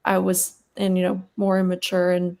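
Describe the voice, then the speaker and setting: A woman's voice: lowish voice, monotone, moderate pace, gravelly, a little mellow. A woman in her twenties from Texas, a guest on a panel, talking casually and filling her sentences with "like" and "you know.". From Texas, United States. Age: 20s. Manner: chatty, lots of "like" and "you know".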